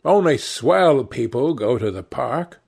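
A man voicing a rough, blunt young lad in a storybook, good-natured but stern and grumbling. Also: medium pitch, animated, a little slow, darkish tone, heavily acted. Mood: blunt, muttering.

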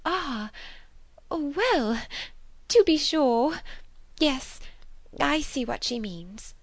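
A woman reader puts on a good-humoured old-fashioned spinster for a novel. She chatters eagerly in a high, lifted voice, runs herself down and is easily hurt. The sound is dry and close. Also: animated, very slow, no echo, heavily acted. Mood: hurt, humble. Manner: chatty, eager, self-deprecating.

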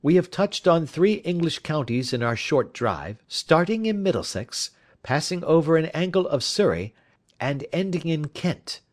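A man's voice: fairly high pitch, sing-song, a little slow, voice pushed up. A man playing an assured, courteous detective in an audiobook, speaking with precision. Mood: assured, explanatory. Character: brisk, assured male detective. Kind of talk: audiobook character voice.

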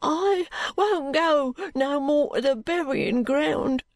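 Lady doing a poor sick kid, hoarse n high, scared and stubborn, drags words out, storybook voice. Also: no room sound. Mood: fearful, stubborn. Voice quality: hoarse, muttering. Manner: slow, drawling uneducated dialect. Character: sick, frightened, stubborn poor London boy, feverish. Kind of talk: audiobook character voice.

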